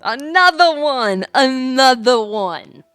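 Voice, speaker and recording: A woman's voice: high-pitched, lively intonation, slow, a bit bright, smooth, no echo, clean studio sound. A woman casually commenting on a game she plays, giggly and playful, repeating her phrases. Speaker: streamer reading a story game aloud. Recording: background music. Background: music.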